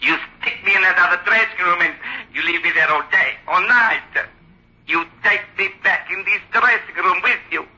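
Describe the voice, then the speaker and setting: High, taunting ventriloquist's dummy. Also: male voice, normal speed, pushed and bright. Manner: mocking, threatening. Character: taunting, sly male ventriloquist's dummy, small and sinister. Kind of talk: old-time radio drama acting. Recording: tinny, dull old radio recording.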